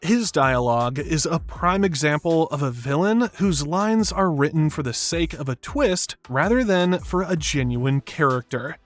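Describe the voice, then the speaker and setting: A man's voice: fairly high pitch, lively intonation, unhurried, hardly any pauses, soft background music. A man reading scripted video-essay narration in an emphatic, opinionated way.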